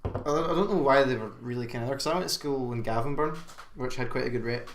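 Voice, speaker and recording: A man's voice: medium pitch, fast. A young man with a Scottish accent, chatting casually as a podcast guest in a jokey, sweary, rambling way. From Glasgow, Scotland. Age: young adult. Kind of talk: casual chat. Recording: echoey room with faint noise.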